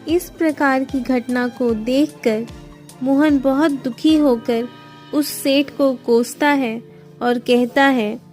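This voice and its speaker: A woman's voice: high-pitched, fairly even intonation, slow pace, darkish tone, faint music behind. A woman reading scripted narration for a moral story as the storyteller.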